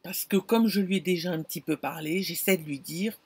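A middle-aged woman vlogging from inside a camper van, telling an anecdote in an animated, expressive way and talking straight to the viewer. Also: fairly low pitch, a little fast, slightly rough, no room sound. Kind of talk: casual storytelling to camera. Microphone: camera close in front.

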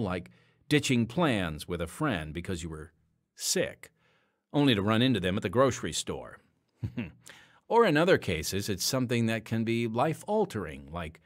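A man hosting and narrating an audio drama podcast in a scripted, dramatic but conversational style. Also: medium pitch.

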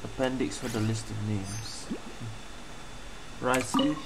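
Very low-voiced woman gaming streamer. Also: steady tone, slow, background noise. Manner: murmured reactions, reading bits aloud. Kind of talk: casual gameplay commentary. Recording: game music behind the voice.

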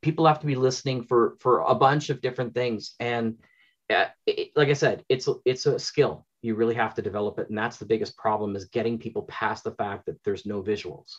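A man's voice: fairly low pitch, steady tone, a little fast. A man, talking casually and effusively in a rambling way as an interview guest. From Canada. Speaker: interview guest, audio drama producer. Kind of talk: enthusiastic casual interview talk. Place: small home room with bookshelves, indoors. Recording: dull, lo-fi video-call audio.

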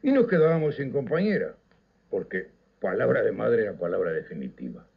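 Older Uruguayan man, very animated radio storyteller. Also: fairly low pitch, creaky, breathy edge. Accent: Uruguayan Rioplatense. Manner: theatrical, declamatory, with dramatic pauses. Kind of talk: nostalgic scripted radio narration. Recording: slightly dull, lo-fi radio recording.

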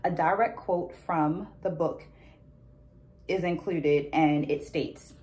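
A woman in her thirties giving a reflective, deliberate explanation of a book as a vlog host, talking to camera. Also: low voice, darkish tone. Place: small indoor room at home.

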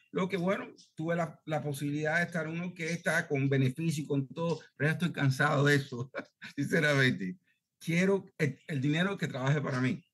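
A man's voice: fairly high pitch, breathy edge. Middle-aged cuban guy, headset call, earnest, talks a lot, keeps asking if you follow. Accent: Cuban Spanish. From Cuba. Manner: earnest, talkative, keeps checking he is understood. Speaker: student asking a question. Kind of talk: video call Q&A. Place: home room, indoors.

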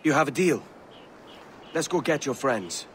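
An actor with a Welsh accent playing a roguish, blunt, self-interested pirate assassin in a video game, brusque and casual in speech. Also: male voice, mid-range voice, animated, a little slow, a little hiss or hum, lightly acted. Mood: blunt, impatient. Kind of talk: dramatic video game acting.

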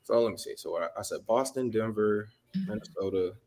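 A man's voice: fairly low pitch, fairly quick, darkish tone. A man in casual sports talk on a video call, hesitant and full of fillers and restarts. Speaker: podcast panelist.

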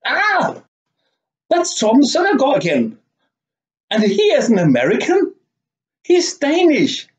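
A man's voice: very high voice, huge pitch swings, slow pace, pauses often. A middle-aged man vlogging in a living room, giving rambling, wordy reviews and sarcastic commentary. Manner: sardonic, wordy. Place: small living room, indoors.

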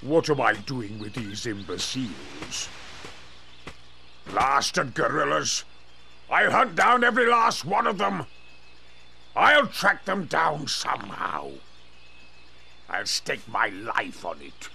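Pompous, gravelly British villain hunter. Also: male voice, mid-range voice, very animated, slow, long pauses, bright, forceful tone, slight background noise, exaggerated voice. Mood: furious, contemptuous. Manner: pompous, theatrical, smug. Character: big, pompous, scheming villainous male jungle hunter. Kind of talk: video game cutscene acting.

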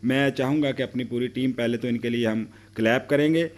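A man's voice: mid-range voice, steady tone, brisk, background noise. A middle-aged man hosting a TV talk show, introducing guests with effusive praise. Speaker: host. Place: TV studio set.

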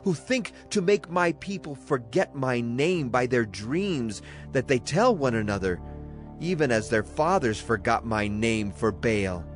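A man with a mid-pitched voice narrates the Bible in a dramatized style. His delivery is solemn and declamatory, with music playing behind him. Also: animated, measured pace. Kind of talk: dramatized scripture reading.

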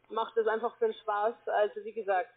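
A woman speaks as a call-centre agent on an informal sales call. Her pitch is middling and her manner polite, a little hesitant and rambling. The phone line sounds muffled and lo-fi. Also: flat delivery, fast.

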